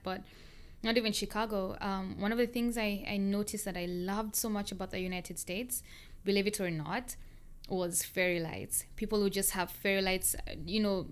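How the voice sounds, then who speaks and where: A woman's voice: medium pitch, clear, resonant tone. A young adult woman, hosting a reflective solo podcast in an earnest, conversational manner with short, considered phrases. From born in the US, grew up in Kenya. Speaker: podcast host.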